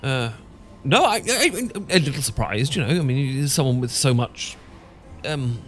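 A man's voice: mid-range voice, animated, unhurried, faint music behind, close to an everyday voice. A man with a British accent playing an awkward, sentimental English professor in a romantic ASMR roleplay, stammering and hesitant. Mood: flustered. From Britain. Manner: stammering, hesitant, full of false starts. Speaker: audio roleplay performer.

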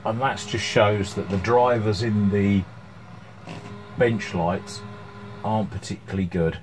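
A man's voice: fairly low pitch, fairly even intonation, unhurried. A middle-aged man giving an informal, hesitant workbench demonstration, thinking aloud as he goes. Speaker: electronics hobbyist presenting his repair. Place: small cluttered indoor workshop at home. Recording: clear background noise, room ambience.

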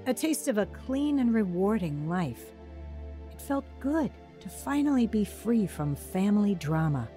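A woman playing a narrator who looks back on her troubled youth in measured, reflective audio drama storytelling. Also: medium pitch, very animated, warm tone, faint music behind. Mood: reflective, regretful. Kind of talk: scripted first-person dramatic narration.

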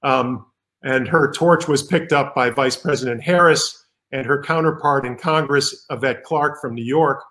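A man's voice: medium pitch, unhurried, a bit bright. A middle-aged man, a physician, giving an earnest talk over slides on a video call, with many ums and restarts. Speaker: physician keynote speaker. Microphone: video call webcam.

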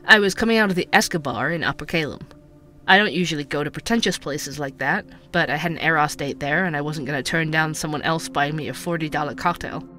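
A man's voice: on the high side, fast, sharp tone. An actor playing an earnest young male conspiracy podcaster, narrating a scripted audio drama like a storyteller. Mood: recounting, intrigued. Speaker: podcast host.

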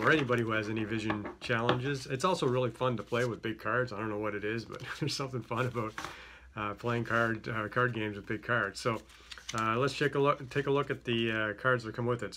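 Middle-aged male presenter, slight Greek accent, bright tone, loose and chatty, filmed close in a small office with light background noise. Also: mid-range voice. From Greek-speaking home. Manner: chatty, many fillers and restarts. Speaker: company head presenting a product. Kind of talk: casual product unboxing. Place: small office with a yellow wall.

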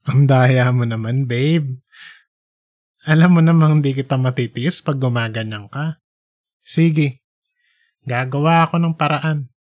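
Woman as laid-back beer-loving dad, audiobook character, dropped pitch, easygoing. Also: slow pace, pauses often, a little mellow. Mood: amused, teasing. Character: laughing, easygoing, beer-loving husband and father.

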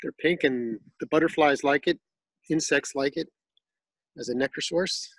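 Man giving a relaxed backyard garden tour. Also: fairly high pitch, normal speed, husky, no room sound. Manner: relaxed, informative, some fillers and restarts. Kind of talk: casual guided tour.

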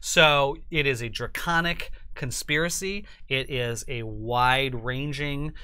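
A man in his forties giving a casual product preview as a guest, thinking aloud haltingly and correcting himself. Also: mid-range voice, pushed and bright, smooth, no echo. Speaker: publisher and chief creative officer, guest. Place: indoor studio set with couches and curtain.

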